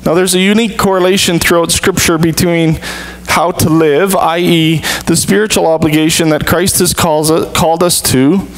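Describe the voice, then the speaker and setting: A man in his thirties, a pastor, preaching an earnest sermon with measured, deliberate pauses from a church stage. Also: a little high, steady tone, low-quality recording.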